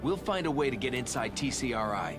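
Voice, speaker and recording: A man voicing a steady turtle team leader. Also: medium pitch, brisk, lightly acted. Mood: serious. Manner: steady, commanding. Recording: game cutscene with music.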